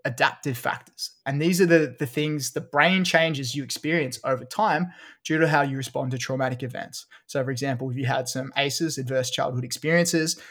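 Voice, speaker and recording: An Australian man co-hosting a relaxed science podcast. He walks through research findings in an explanatory way, dotting his talk with ums and uhs at a middle pitch. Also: moderate pace. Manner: explanatory, with frequent fillers. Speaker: podcast co-host. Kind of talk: casual science chat.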